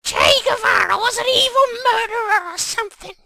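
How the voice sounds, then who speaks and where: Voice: very high voice, moderate pace, gravelly, a crowd in the background. A performer voicing a stern, prissy older woman professor who scolds, in an amateur comedic reading. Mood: indignant.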